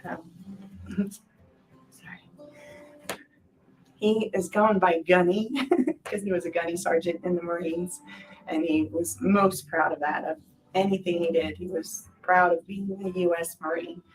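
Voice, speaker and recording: A woman's voice: on the low side, steady tone, measured pace, long pauses, far from the mic, faint music behind, low-quality recording. A woman telling her personal story in a reflective, informal way, as in a documentary interview. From Hawaii, island of Kauai. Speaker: shaved-ice business owner.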